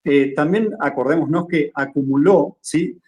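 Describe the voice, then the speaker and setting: A man's voice: a little high, a little slow, a little mellow, muffled recording. A man from Argentina with an Argentine Spanish accent, a journalist guest explaining at length and checking in with "¿sí?". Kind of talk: interview conversation.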